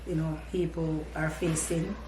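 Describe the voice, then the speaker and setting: An older woman with a Jamaican accent, a grandmother answering interview questions thoughtfully, with pauses. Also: low voice, little pitch movement, noisy background. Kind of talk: casual interview. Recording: echoey room.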